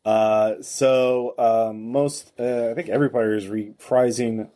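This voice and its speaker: A man hosting a tabletop game as game master, narrating off the cuff in a halting, hesitant way. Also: medium pitch, steady tone, mellow.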